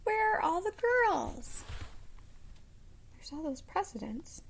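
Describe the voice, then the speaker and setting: Woman acting a young schoolgirl, high pitch, earnest, exclaiming, determined, storybook character. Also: big pitch swings, takes it slowly, vocal fry, a little hiss or hum, exaggerated voice. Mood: indignant. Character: indignant, determined elementary school girl with big ambitions.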